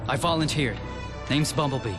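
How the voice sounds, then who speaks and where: A man's voice: mid-range voice, normal speed, natural voice. An actor playing a young male robot messenger, earnest and brave, in a video game cutscene. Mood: urgent. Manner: urgent, earnest. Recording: game music behind.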